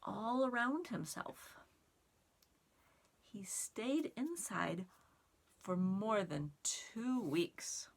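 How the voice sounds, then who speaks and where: Very slow, lively-pitched woman reading to children. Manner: simple, expressive phrasing aimed at young children. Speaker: storytime reader and sign language teacher. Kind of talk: children's read-aloud and sign lesson.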